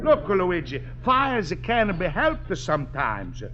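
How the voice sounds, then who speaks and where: High, swooping, boisterous Italian schemer. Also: male voice, wildly swooping pitch, takes it slowly, full character voice. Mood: bossy, scheming. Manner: loud, bossy, broken English. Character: boisterous, scheming, boastful Italian immigrant friend. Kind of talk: 1950s radio sitcom acting. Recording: muffled, noisy old radio recording.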